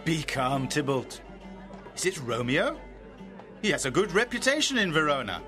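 A man's voice: a little high, sing-song, moderate pace, over music. A man voicing Lord Capulet, a stern older nobleman who speaks firmly and commandingly in his own house. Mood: calming. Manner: firm and commanding.

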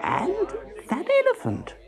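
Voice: medium pitch, wildly swooping pitch, normal speed, a little mellow. A narrator voicing a meek, henpecked male shop owner in a children's storybook, hesitant and murmuring. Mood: puzzled.

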